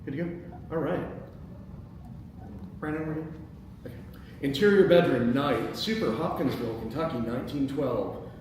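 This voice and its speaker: A man's voice: mid-range voice, moderate pace, pauses often, darkish tone. A man hosting a script table read and reading out the stage directions. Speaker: host and stage-direction reader. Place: indoor meeting space. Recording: very echoey room, distant mic, background noise.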